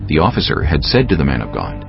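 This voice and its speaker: A man narrates scripture in an extremely deep voice with a creaky grain. His delivery is varied in pitch. Quiet music plays behind the slightly muffled recording. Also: huge pitch swings, quick pace.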